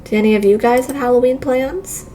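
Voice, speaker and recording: A woman's voice: a little high, fairly quick, mellow, slight background noise, natural voice. A woman voicing a chirpy, kind children's show hostess, speaking simply and sweetly to young viewers in a visual novel. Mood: cheerful, excited.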